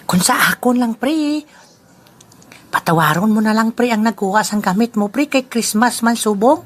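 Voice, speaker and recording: A woman's voice: fairly high pitch, lively intonation, normal speed. A woman voicing a scheming old man, a drinking buddy who chuckles and laughs often, in an amateur radio play. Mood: friendly, sly. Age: over 60.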